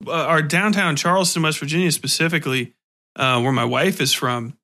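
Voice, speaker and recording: A man's voice: a little high, a little fast, pushed and bright. An American man hosting a podcast interview in casual chat, riffing comically and swearing freely.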